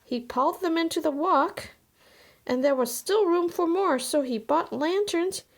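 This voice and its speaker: Woman storybook reader, high voice, scripted narration for children. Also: measured pace.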